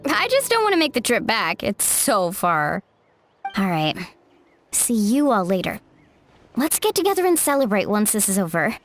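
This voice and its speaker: A woman's voice: mid-range voice, wildly swooping pitch, normal speed, a bit bright, faint music behind. A woman playing an upbeat, loyal young female adventurer in a video game, with a breezy, friendly manner. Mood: casual, friendly. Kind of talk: video game character acting.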